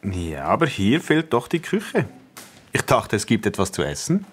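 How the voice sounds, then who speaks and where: A man's voice: lowish voice, wildly swooping pitch, husky, heavily acted. A man playing a polite, oblivious, hesitant schoolteacher in a children's radio drama. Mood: awkward. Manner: hesitant, halting. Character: awkward, polite, oblivious male schoolteacher Markovic.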